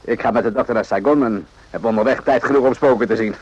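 An actor playing a composed, probing army captain in a radio drama, speaking in a measured, understated way with hesitant pauses. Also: male voice, mid-range voice, darkish tone, slightly rough, no room sound, close to an everyday voice. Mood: guarded, measured. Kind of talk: radio drama acting in Dutch. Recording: dull, muffled old radio recording.

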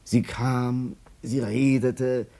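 A man's voice: medium pitch, little pitch movement, extremely slow, gravelly, dark tone. An actor playing an evasive small-time man with a wry storytelling manner in a radio drama. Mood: wry recounting. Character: wooden-legged small-time man, evasive under questioning. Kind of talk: German radio drama acting.

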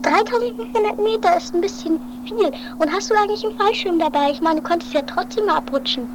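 A woman playing an excitable, anxious wife in an improvised amateur comedy radio play, chatty and theatrical. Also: very high voice, fast. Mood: worried. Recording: noisy 1980s amateur recording.

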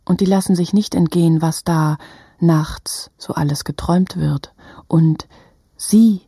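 A woman narrates a literary radio play. Her voice is very husky, dark and a little low, recorded dry. She phrases in halting fragments. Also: no room sound. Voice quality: husky, breathy.

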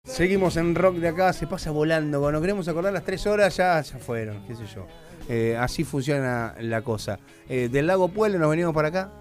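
A man's voice: a little high, animated, a little fast, soft background music. A man hosting a casual radio interview, chatty and joking, with lots of slang. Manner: chatty, jokey, full of slang. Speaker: radio host.

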